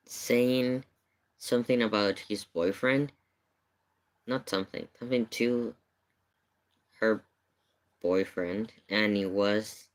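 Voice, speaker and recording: A streamer chatting casually and telling a rambling story, with hesitant, repetitive phrasing. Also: medium pitch. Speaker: live streamer reacting to videos. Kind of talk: casual livestream chat, rambling anecdote.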